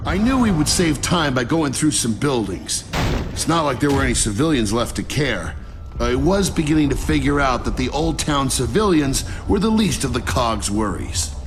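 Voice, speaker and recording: Game soldier man acting: wry, frustrated lieutenant, mid-pitched, animated range, over a heavy score. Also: moderate pace, over music, close to an everyday voice. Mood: grim recounting. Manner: sardonic, weary. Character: wry, frustrated young army lieutenant leading a squad. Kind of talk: video game acting and story narration.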